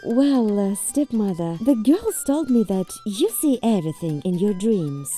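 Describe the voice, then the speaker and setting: Sly, lively young cartoon bride. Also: female voice, mid-range voice, unhurried, slightly rough, faint music behind. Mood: feigned innocence. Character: sly, bold young bride, ringleader scheming against her stepmother. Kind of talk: cartoon fairy tale voice acting.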